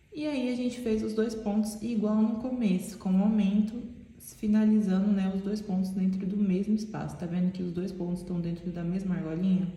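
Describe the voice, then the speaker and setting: A woman's voice: mid-range voice, mellow. A woman with a stuffy, nasal voice, explaining a crochet pattern step by step in a chatty tutorial manner. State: stuffy nose. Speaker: crochet teacher. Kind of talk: casual tutorial talk. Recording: very echoey room with long reverb.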